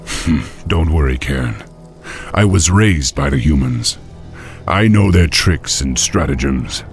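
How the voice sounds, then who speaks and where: A young orc warlord with a very deep, gravelly voice. He is resolute and honorable, weighing each phrase deliberately as in a fantasy game cinematic, with some music underneath. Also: animated, full character voice. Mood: thoughtful, resolute. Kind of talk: video game cinematic acting.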